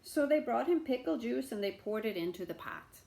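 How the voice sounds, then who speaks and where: A woman's voice: a little high, animated, a little fast, gravelly, mellow. A woman storyteller reading a children's book aloud expressively, with asides to her listeners.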